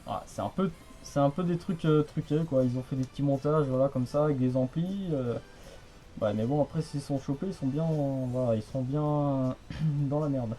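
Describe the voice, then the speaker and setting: A man's voice: mid-range voice, normal speed, dark tone, soft background music, rough recording. A young man chatting casually over the radio in a rambling, repetitive, colloquial way. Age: young adult. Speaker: radio hobbyist, channel host. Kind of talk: casual radio contact chat.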